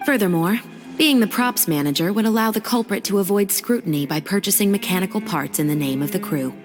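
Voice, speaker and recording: A woman plays a composed patrol officer in a game cutscene. Her voice sits on the low side, measured and resolute, speaking of law like someone who believes in it. It rings with long reverb over music. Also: lightly acted. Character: composed female patrol officer, firm believer in lawful justice. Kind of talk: dubbed video game cutscene acting.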